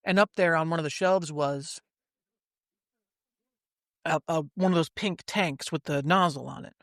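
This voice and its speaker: A man with a somewhat high voice chats casually, telling stories in a loose, rambling way. He co-hosts a podcast. The sound is close and dry, with no room echo. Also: measured pace, a bit bright. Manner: rambling anecdotes with fillers. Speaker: podcast co-host. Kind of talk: casual chat, storytelling.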